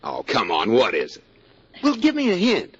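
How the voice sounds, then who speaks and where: An actor playing a smug, affectionate husband who needles playfully in a 1940s radio sitcom. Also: male voice, mid-range voice, wildly swooping pitch, moderate pace, breathy edge, full character voice. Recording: noisy, muffled 1940s radio recording, studio audience.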